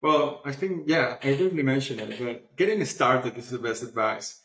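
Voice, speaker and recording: A man speaking as an answers interview questions in an informal, explanatory way. His voice sits at an average pitch. The sound is noticeably roomy and echoey. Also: moderate pace, a bit bright. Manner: informal, explanatory, often adds "you know". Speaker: guest, publishing platform founder.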